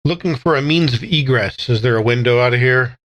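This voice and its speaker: A man's voice: medium pitch, brisk, fairly bright tone, poor audio. A man playing a tabletop role-playing game, hesitantly piecing together what his character does next. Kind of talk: casual improvised action description.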